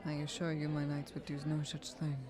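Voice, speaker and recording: Woman acting guarded regal queen, very even intonation. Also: low voice, crowd noise, close to an everyday voice. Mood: guarded, measured. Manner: regal, measured, formal. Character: dignified queen mother, guarded, protective of her son. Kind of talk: audio roleplay acting.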